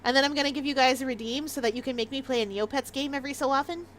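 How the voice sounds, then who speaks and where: A woman's voice: high voice, fairly even intonation, rapid-fire, a bit bright, slight background noise. A Canadian woman with a light Maritime Canadian accent, chatting casually and giggling as she livestreams a game. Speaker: game streamer.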